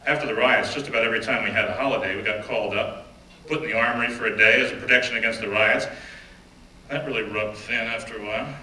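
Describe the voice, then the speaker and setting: A man giving a first-person dramatic reading of an oral history, measured and deliberate, often dropping to hushed phrases. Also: fairly low pitch, fairly even intonation. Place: stage or event room. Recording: roomy, distant mic with faint background noise.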